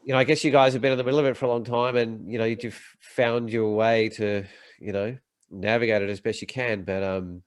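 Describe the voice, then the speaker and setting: A man's voice: lowish voice, steady tone, brisk, dry recording. Rambling man hosting over a video call. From United States. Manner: rambling, with many fillers and restarts. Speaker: podcast host. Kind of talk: casual interview chat.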